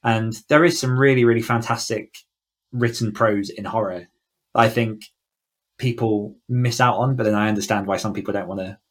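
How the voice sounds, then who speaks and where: A man's voice: fairly low pitch, fairly even intonation, normal speed. A man speaking casually and reflectively as an interview guest, feeling out his phrasing in a thoughtful way. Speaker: guest author on a podcast.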